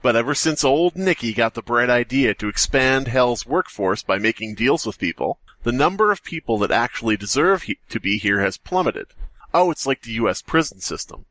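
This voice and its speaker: Animated joking man, close dry sound. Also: medium pitch, moderate pace, no room sound. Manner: joking, riffing. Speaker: podcast co-host. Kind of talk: casual comic chat and story reading.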